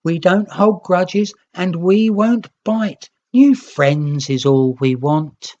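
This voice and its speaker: A woman's voice: lowish voice, expressive, slow, dark tone, highs cut off, pitched above the performer's own voice. A woman voicing a cheerful, kindly young green nix creature in a children's storybook, eager to share. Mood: cheerful, coaxing.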